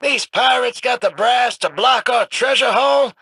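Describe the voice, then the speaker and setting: A man's voice: very high-pitched, unhurried, vocal fry, background noise, exaggerated voice. An actor playing a brash, cynical male rogue scavenger in a video game, with a sarcastic, swaggering drawl. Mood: sly.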